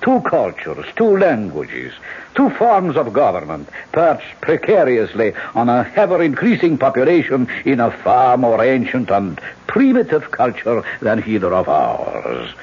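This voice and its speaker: An actor playing a suave, scheming older generalissimo with an urbane, oratorical manner in a radio drama. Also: male voice, mid-range voice, wildly swooping pitch, a little slow, breathy edge, exaggerated voice. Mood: smooth, pompous. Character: suave, scheming elder generalissimo, falsely courteous. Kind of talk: old-time radio drama acting. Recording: dull old radio broadcast.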